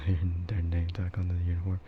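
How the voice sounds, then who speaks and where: A man livestreaming casual ASMR chat in a hushed, rambling way that often slips toward a whisper. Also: monotone, moderate pace. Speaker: livestream host. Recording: muffled low-fidelity mic with clear background noise.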